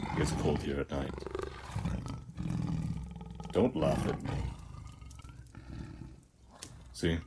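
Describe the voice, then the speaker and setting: A man playing a weary, battle-hardened warrior of Ares in a scripted audio roleplay, speaking in measured, reflective short phrases. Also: darkish tone, slight background noise. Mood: fond, soft. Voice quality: very deep, husky, breathy.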